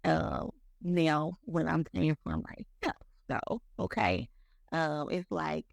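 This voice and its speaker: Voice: mid-range voice, expressive, slow, vocal fry, no room sound, studio quality. A speaker in their mid-thirties talking about faith on a podcast, in a rambling way, leaning on "like" and "you know" and repeating words. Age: 30s. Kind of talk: casual faith talk.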